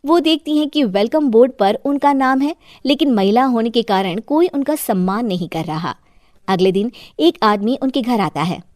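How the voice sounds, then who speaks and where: A woman's voice: fairly high pitch, animated, normal speed, a little mellow, no room sound, clean studio sound. A woman narrating a scripted moral story as storyteller.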